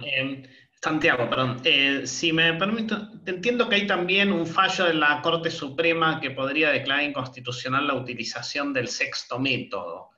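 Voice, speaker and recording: A man moderating an online seminar, slowly reading out audience questions. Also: mid-range voice, pushed and bright. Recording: echoey, dull lo-fi call audio.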